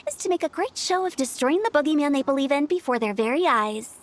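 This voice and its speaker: A woman's voice: high voice, expressive, fast, slight background noise. An actress playing a playful, shrewd young woman who runs a funeral parlor in a game, confident and teasing. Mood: explaining. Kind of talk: game voice acting.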